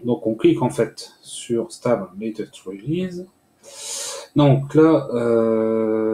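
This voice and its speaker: A man walking viewers through a screen-recorded software tutorial step by step, pausing often with filler sounds. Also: fairly low pitch. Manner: hesitant, many "euh" fillers, step-by-step explaining. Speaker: tutorial host. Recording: echoey room with faint noise.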